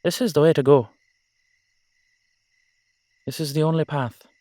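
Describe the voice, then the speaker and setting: Man playing emphatic, loud Irish rogue, dry sound. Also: on the high side, fairly even intonation, a little slow, no echo, lightly acted. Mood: reassuring. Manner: emphatic, coaxing, salty-tongued. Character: loud, earnest old Irish dullahan, loyal friend. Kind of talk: audio roleplay acting.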